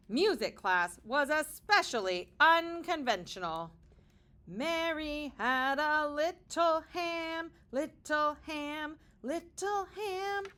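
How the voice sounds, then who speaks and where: Animated, higher-pitched woman reading a storybook aloud. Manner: expressive, dramatic pauses, gasps and wondering asides to young listeners. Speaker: kindergarten teacher reading aloud. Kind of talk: animated picture book read-aloud with conversational asides.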